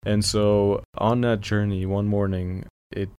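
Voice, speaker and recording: A man's voice: lowish voice, little pitch movement, measured pace, warm tone, clear, resonant tone. A young man from Syria with an American accent, whose first language is Armenian, talking thoughtfully in a casual studio conversation and searching for his words. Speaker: podcast guest.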